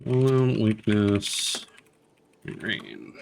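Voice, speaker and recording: A man's voice: on the low side, sing-song, very slow, creaky, a little hiss or hum. A man doing casual live gameplay commentary as a streamer, muttering to himself and swearing freely. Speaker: video game streamer. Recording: music behind the voice. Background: music.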